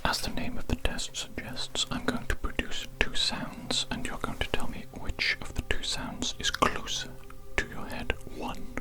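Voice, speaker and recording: A performer in an ASMR medical roleplay as a doctor, speaking in a soft unvoiced whisper with formal, repetitive clinical phrasing. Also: normal speed, hardly any pauses. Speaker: ASMR performer playing a doctor giving a hearing test.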